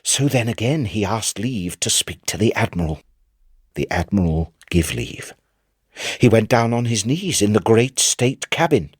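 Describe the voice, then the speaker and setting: A man narrating a literary tale in an expressive, theatrical storytelling manner. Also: lowish voice, measured pace, breathy edge, no room sound, clean studio sound. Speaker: narrator, audiobook reader. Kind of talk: dramatic scripted literary narration.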